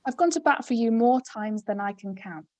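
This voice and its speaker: A woman's voice: on the high side, animated, moderate pace, mellow, natural voice. A woman acting a bossy fairy union boss in a script read, barking commands and scolding. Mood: angry, scolding. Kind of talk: scripted screenplay table read.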